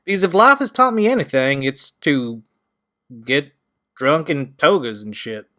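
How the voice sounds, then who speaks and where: Male actor as exasperated sarcastic friend, lively pitch, casual swearing, audio drama. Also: unhurried. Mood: flippant. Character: sarcastic, exasperated older pal along at college party.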